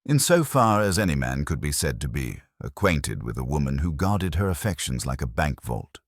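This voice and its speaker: A man voicing a prim, guarded older gentleman in a stiff, formal, reticent manner for a mystery story. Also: a bass voice, big pitch swings, normal speed, dry recording, studio quality, full character voice.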